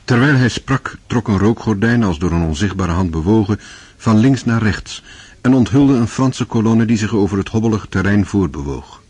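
A man's voice: fairly low pitch, expressive, moderate pace, darkish tone, breathy edge. A man narrating a radio drama in a scripted, literary style.

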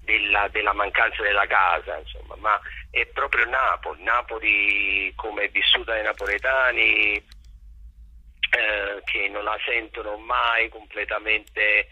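A raspy-voiced man explaining his work reflectively as a theatre director in an interview, halting and repeating phrases as he searches for words. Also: on the low side, sharp tone, no echo. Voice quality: gravelly, raspy. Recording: dull lo-fi radio or telephone sound.

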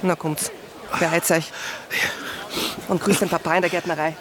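An actor playing a blunt, folksy Viennese guard under orders in a radio drama. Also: male voice, fairly high pitch, flat delivery, normal speed, natural voice. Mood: warm surprise. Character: brusque Viennese checkpoint man under orders. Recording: noisy outdoor crowd street scene.

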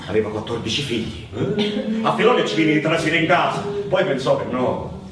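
A man's voice: mid-range voice, big pitch swings. Guy doing theatrical storytelling, super echoey room, noisy recording, dramatic delivery. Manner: dramatic, building repetitions into drawn-out, chanted cries. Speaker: stage storyteller, actor. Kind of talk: theatrical narrative monologue in live performance. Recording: very echoey, distant microphone, noisy ambience.

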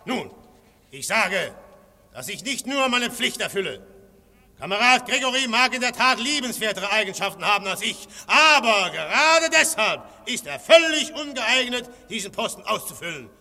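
An actor playing a young undercover detective who poses as a fierce anarchist and gives a cool, cutting, mocking speech in a radio play. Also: male voice, sits high, big pitch swings, moderate pace, sharp tone, big-room sound, full character voice. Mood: scornful.

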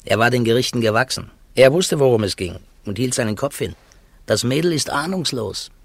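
A man's voice: medium pitch, expressive, brisk, no room sound. An actor playing a sceptical, learned churchman in a radio drama, talking in a dry, musing way. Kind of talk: classic German radio drama dialogue.

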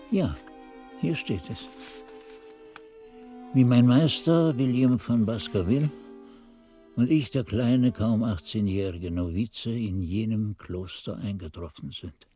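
An actor playing a reflective old monk who recalls his youth, musing and halting as if talking to himself, husky-voiced, in a radio drama. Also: medium pitch, warm tone. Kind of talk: 1980s German radio drama narration.

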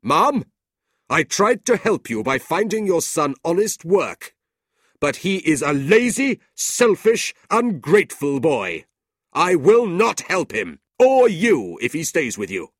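A man's voice: on the high side, sing-song, a little slow, fairly bright tone, dry recording, studio quality, voice pushed up, exaggerated voice. A man doing a stern, scornful old uncle. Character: cold, scheming older man, a harsh uncle.

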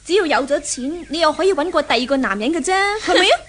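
A woman's voice: sits high, animated, fast talker. An actress playing an arrogant, domineering young rich woman in a 1970s radio drama, with a Hong Kong Cantonese accent and a sneering, imperious manner. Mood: scornful, impatient.

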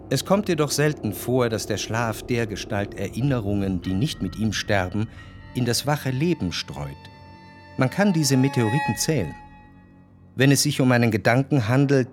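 An actor playing a reflective, cultured man who recalls his past in a literary radio drama, with measured, precise diction. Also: mid-range voice, natural voice. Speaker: narrator.

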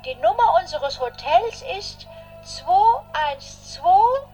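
A woman's voice: extremely high pitch, lively intonation, slow pace, dark tone, soft background music, heavily acted, rough recording. An actress playing a chatty, polite old lady who rambles formally in a radio drama phone message. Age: older.

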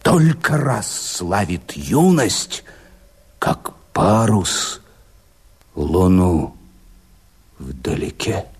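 A man's voice: low-pitched, big pitch swings, very slow, pauses often, slightly rough, exaggerated voice. A man playing a fatalistic, reckless rebel in a radio play, declaiming verse solemnly and emotively over a noisy bed. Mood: grim abandon. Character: fatalistic, reckless male rebel musing on death.

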